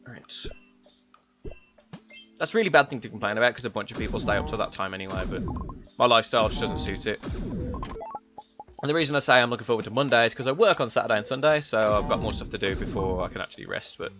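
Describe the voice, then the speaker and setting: Laid-back young man, raspy. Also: on the low side, sing-song, quick pace, pauses often. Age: young adult. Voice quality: slightly raspy. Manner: rambling, laid-back, many fillers. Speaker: gaming streamer. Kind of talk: casual chat while playing. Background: game music.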